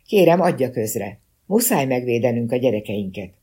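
An indignant mother , read by a male narrator, levels accusations in a husky, mid-pitched voice. She is righteous and demanding, a figure from a detective audiobook. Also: sing-song, dark tone. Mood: urgent appeal. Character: outraged mother filming and accusing a vagrant.

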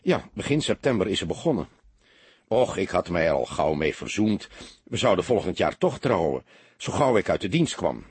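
A man's voice: deep voice, very animated, moderate pace, breathy edge, no echo, full character voice. A man voicing an anxious, earnest young soldier who speaks hesitantly and repeats words in an audiobook story. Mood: earnest explaining.

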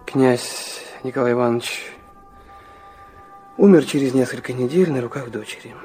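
An actor playing an earnest young man narrating his confessional memoir in a Russian literary radio drama, reflective and dramatic, with pauses. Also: medium pitch, mellow, soft background music, lightly acted.

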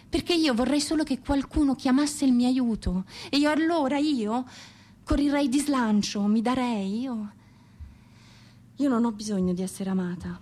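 A woman's voice: fairly high pitch, darkish tone, lightly acted. An actress playing an anguished exiled Russian woman poet, pleading yet defiant, in an impassioned, declamatory radio monologue.

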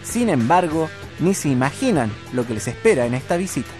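A man's voice: a little high, big pitch swings, brisk, over music. A man reading a scripted story recap for educational radio in a presenter-like storytelling manner. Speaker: narrator.

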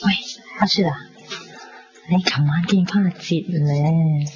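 Low-voiced gossipy young Hong Kong woman. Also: measured pace. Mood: teasing. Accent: Hong Kong Cantonese. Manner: chatty, teasing, slangy. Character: brash, gossipy young woman best friend. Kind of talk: radio drama acting, chatty dialogue. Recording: dull lo-fi 1990s radio with hiss.

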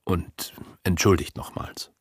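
A man's voice: slow pace, no echo, studio quality, natural voice. A man voicing a courteous, condescending aristocratic prince in a literary story. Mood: gracious. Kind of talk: audiobook character reading.

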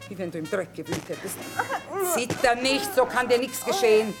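A woman's voice: a little high, lively intonation, normal speed, over loud music. A middle-aged actress playing a shrewd, earthy mother who trades among soldiers, blunt and dryly ironic. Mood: gruff, practical. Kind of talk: stage-style radio drama acting.

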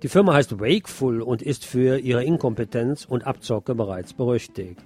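A man presenting a scripted radio news commentary, reading out press reports in a measured, explanatory way. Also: medium pitch, lively intonation, a little mellow, breathy edge.

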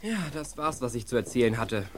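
An assertive teenage gang leader acted by a young man in a German audio play. His delivery swings animatedly at a medium pitch, with a little background noise. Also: sing-song, brisk, lightly acted. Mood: matter-of-fact. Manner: assertive, reasoning. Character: self-assured teenage boy detective, gang leader. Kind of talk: German youth radio play acting.

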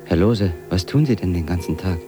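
An actor playing a calmly insistent man who puts probing questions in a radio drama. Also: sits low, fairly quick, music in the background, natural voice. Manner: echoes answers back as questions.

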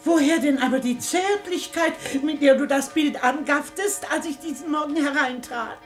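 A woman's voice: high-pitched, moderate pace, faint music behind, lightly acted. An actress playing an imperious, scolding old grandmother in a theatrical radio comedy, indignant and sharply questioning. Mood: suspicious, probing.

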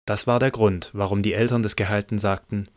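A man reading scripted scripture narration for an audio drama in a measured, matter-of-fact way. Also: lowish voice, fairly even intonation, no echo, clean studio sound.

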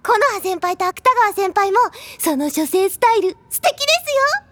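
A woman's voice: very high voice, big pitch swings, normal speed, fairly bright tone, no room sound, full character voice. A woman playing a bubbly, adoring and cutely polite younger schoolgirl in an anime-style audio drama. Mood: gushing, adoring.

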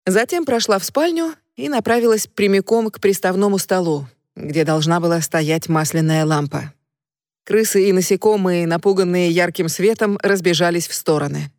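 A woman's voice: lowish voice, moderate pace, darkish tone, no room sound, studio quality. A woman narrating a gothic romance audiobook in the first person, emotional and intimate.

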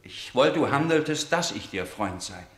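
An actor playing an upright, defiant young prince in a classical verse radio drama, declaiming earnestly and in measured phrases. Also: male voice, mid-range voice, animated, fairly bright tone, reverberant, close to an everyday voice. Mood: earnest, reproachful. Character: upright, defiant young prince pleading with his tyrant father.

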